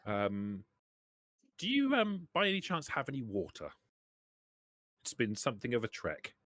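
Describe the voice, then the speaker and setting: A man's voice: mid-range voice, huge pitch swings, measured pace, fairly bright tone, no room sound, studio quality. A man voicing a friendly, effusive male caravan trader in a tabletop roleplaying game. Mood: friendly, asking.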